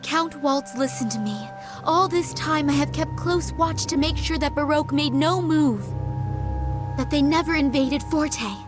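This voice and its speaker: A composed woman ruler, high-voiced and grave, pressing her case with calm conviction over clear orchestral music. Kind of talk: dubbed video game cutscene.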